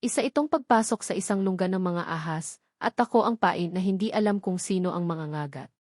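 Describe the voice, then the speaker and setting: A woman's voice: lowish voice, brisk, darkish tone, no echo, clean studio sound. A woman reading a scripted first-person dramatic story as its narrator.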